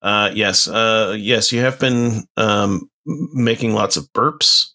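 Gravelly low-voiced man, hesitant. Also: slow, bright, forceful tone. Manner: hesitant, filler-heavy. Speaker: podcast co-host. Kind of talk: casual chat.

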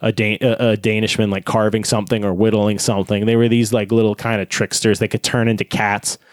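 A man with a lowish voice talks in a loose, rambling way, full of fillers and offhand swearing. He gets nerdy and goes deep into detail. The sound is dry and close, with no room around it. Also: level tone, fairly quick, dry recording. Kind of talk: casual chat.

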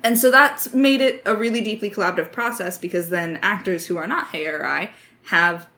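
A woman's voice: mid-range voice, brisk, sharp tone. A woman chatting casually and informally, given to giggling, as a podcast writer and voice actor in a creator Q&A. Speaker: lead writer and voice actor of an audio drama podcast.

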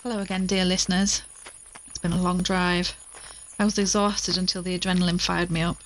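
A woman's voice: on the low side, level tone, brisk, natural voice. An actress in an audio drama playing an exhausted, anxious but determined woman who is recording a spoken log. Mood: worried, resolute. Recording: clearly noisy outdoor recording with crickets.

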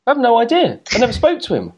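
Podcast host, lively pitch, trails and restarts, laughs a lot, casual banter. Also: rapid-fire, highs cut off, slight background noise. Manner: rambling, self-interrupting, laughs often. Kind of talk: casual chat.

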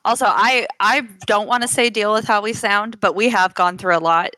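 Bright-voiced woman, wry headset chat. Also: medium pitch, fairly even intonation, normal speed, no room sound. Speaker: podcast co-host. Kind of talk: casual chat. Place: lying in a corner of her home. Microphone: gaming headset.

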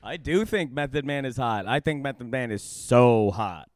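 A young man co-hosting a comedy podcast, trading deadpan quips in casual chat. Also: mid-range voice, normal speed.

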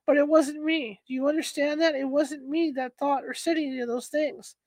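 A woman reading aloud and voicing a remorseful, frightened husband who begs and rasps. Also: high voice, steady tone, moderate pace, a little mellow, no echo, close to an everyday voice. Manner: begging, rasping.

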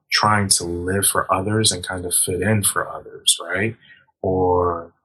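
Husky, bright-voiced man talking candidly. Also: low voice, low-quality recording. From Dominican heritage, grew up in the US. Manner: candid, reflective, casual slang, many restarts. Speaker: guest. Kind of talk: casual podcast conversation.

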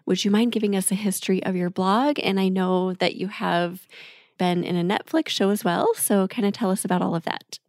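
A woman's voice: mid-range voice, steady tone, fairly quick, a little mellow, dry recording, clean studio sound. A woman working as a podcast host, moving between scripted reads and casual interview talk. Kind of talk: scripted promo and intro reading, casual interviewing.

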